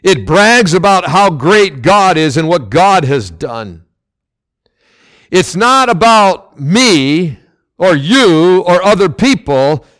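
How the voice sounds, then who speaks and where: A man preaching a sermon as pastor, loud and emphatic, with sudden swells and drops in his delivery. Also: high-pitched, sing-song, slow.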